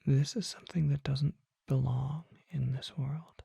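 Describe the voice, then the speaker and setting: A performer voicing a mysterious, courtly vampire apothecary in a breathy, formal ASMR whisper, close to the microphone. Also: medium pitch, fairly even intonation, no echo, exaggerated voice. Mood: hushed, reverent. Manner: slow, formal, deliberate, hushed.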